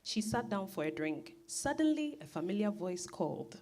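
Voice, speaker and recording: A female narrator reads a scripted story. Her voice is medium in pitch, with lively, expressive rises and falls that set each scene. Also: normal speed, a little hiss or hum.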